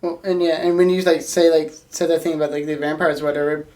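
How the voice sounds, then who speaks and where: Lower-voiced woman chatting casually. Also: little pitch movement, quick pace, warm tone. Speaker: podcast co-host. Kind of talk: casual chat.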